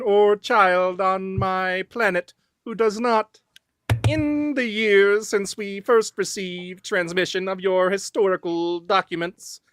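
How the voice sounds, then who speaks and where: Woman playing a slow-spoken, reverent male alien leader. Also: mid-range voice, fairly bright tone, clear, resonant tone, dry recording. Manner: formal, deliberate, ceremonious. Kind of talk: live script read, radio theatre.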